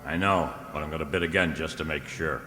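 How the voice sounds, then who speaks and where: An actor playing a wry, confident detective in an old-time radio drama, talking in a clipped, slangy, casual way. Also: male voice, extremely low pitch, brisk, pushed and bright, long reverb tail. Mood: brisk, determined.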